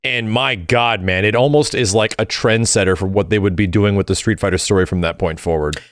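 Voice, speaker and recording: A man's voice: lowish voice, a little fast, smooth, no room sound, clean studio sound. A man co-hosting a podcast, chatting and adding commentary in a casual way. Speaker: podcast host.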